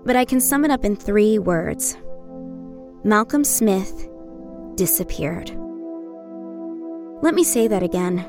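A woman's voice: mid-range voice, animated, slow, long pauses, over music. An actress playing a headstrong, flippant American film student in her early twenties in an audio drama. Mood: solemn, measured. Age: early 20s. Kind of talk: audio drama acting, documentary narration and casual banter.

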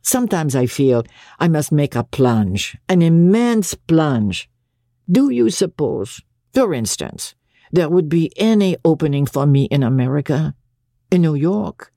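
A woman's voice: sits low, sing-song, measured pace, dark tone, no room sound, clean studio sound, exaggerated voice. A woman voicing an eager young tutor, earnest, polite and philosophising. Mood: wistful, eager. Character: eager, impecunious young Frenchman, an intellectual private tutor.

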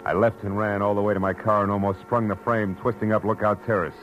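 A man's voice: lowish voice, level tone, fast, dull audio, natural voice. An American man playing a tough, wry private eye in a 1940s radio detective drama, with clipped, slangy delivery. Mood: wry, tense narration. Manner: clipped, slangy, hard-boiled. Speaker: lead actor and narrator. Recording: old radio broadcast with music.

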